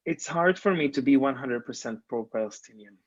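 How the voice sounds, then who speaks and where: A man's voice: mid-range voice, animated, brisk, no echo. A man in his twenties from Lebanon, a podcast guest, speaking with frequent ums and restarts and some non-native grammar. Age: 20s. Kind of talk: casual interview talk. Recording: dull, dry lo-fi sound.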